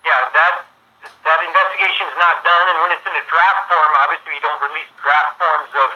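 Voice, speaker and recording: A fast-talking man with a fairly high, very bright voice answers questions as a police chief. His manner is official and matter-of-fact. He comes through a lo-fi telephone connection. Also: fairly even intonation. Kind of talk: answering questions in phone interview. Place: telephone call. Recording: band-limited telephone line.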